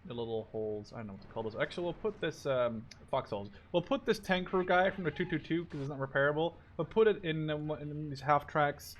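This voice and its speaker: A man's voice: fairly high pitch, expressive, fast, few pauses, dull audio, a little hiss or hum. A man in his twenties from the Netherlands giving casual, rambling let's-play commentary, thinking aloud and swearing offhandedly. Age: 20s. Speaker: gaming YouTuber.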